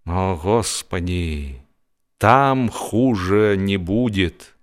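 A man's voice: low voice, lively intonation, drawn-out words, heavily acted. A man voicing a miserable seasick passenger in a radio audiobook performance. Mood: miserable groaning. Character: seasick man hanging over the rail, miserable.

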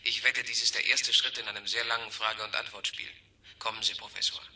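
An actor playing a brash, excitable scientist in a German radio play, talking in clipped, emphatic phrases. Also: male voice, lowish voice, a little fast. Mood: excited, insistent.

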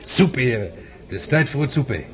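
An actor playing a suave, taunting gambler in a radio drama, mock-courteous and smug. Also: male voice, medium pitch, big pitch swings, brisk, natural voice. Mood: gloating. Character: suave, taunting male gambler, coolly gloating over his victim. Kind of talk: radio drama acting in Dutch. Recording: radio play with crowd noise behind.

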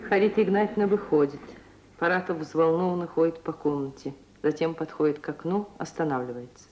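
A woman's voice: low-pitched, dark tone. A woman reading scripted stage narration for an old Russian radio play. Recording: muffled, noisy 1950s radio recording.

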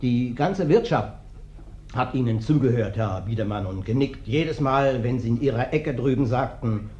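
An actor playing a sly, ingratiating vagrant guest in a German radio play, flattering and theatrical. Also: male voice, mid-range voice, normal speed, lightly acted. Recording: dull, roomy old radio recording.

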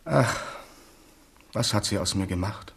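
A man's voice: fairly low pitch, a little slow, vocal fry, a bit bright. An actor in a German radio play playing a capricious, wounded French king, swinging from theatrical outbursts to plaintive murmurs. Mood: plaintive, weary.

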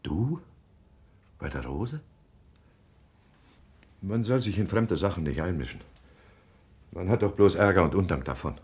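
An uneasy husband with something to hide in a naturalistic radio play. His low voice is very husky and rough. He talks in curt, halting bursts, gruff and evasive. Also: expressive, full character voice. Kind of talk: naturalistic German radio drama acting.

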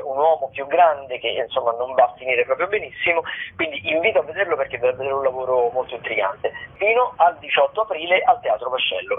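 A man with a slightly raised pitch calls in to a radio show and runs through the week's theatre listings. He talks in a hesitant way, with frequent fillers and restarts. His voice comes through a thin, tinny phone line.

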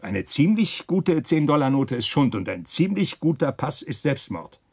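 Smug pushy nazi schemer, radio drama, chummy but sly, raspy and all over the place in pitch. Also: male voice, sing-song, moderate pace, darkish tone, full character voice. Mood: grandiose, emphatic. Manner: chummy, insinuating, emphatic. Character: slick, scheming, ambitious Nazi officer, smugly pushy with comrades. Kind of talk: German radio drama acting.

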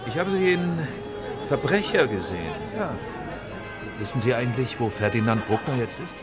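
A man acting a brusque, lively-pitched film director. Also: normal speed, lightly acted. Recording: loud music behind the voice.

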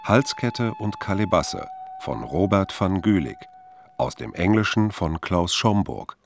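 A man's voice: deep voice, wildly swooping pitch, unhurried, vocal fry, background noise. A man reading scripted radio play credits as an announcer. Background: music.

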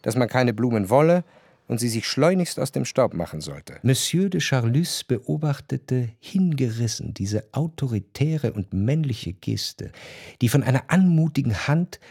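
A man narrating literary prose for a radio drama in measured, deliberate phrases. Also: mid-range voice, big pitch swings, a little mellow, slightly rough, no room sound. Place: radio studio. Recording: dry studio with music behind.

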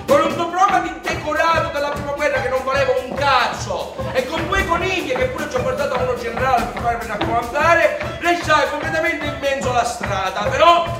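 An actor playing a poor, excitable Sicilian labourer who tells his life in an earthy, declamatory stage monologue. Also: very high voice, fairly quick, few pauses. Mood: proud, animated. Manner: declamatory, earthy, with dialect grammar. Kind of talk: theatrical autobiographical monologue. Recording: distant echoey stage recording with faint music.

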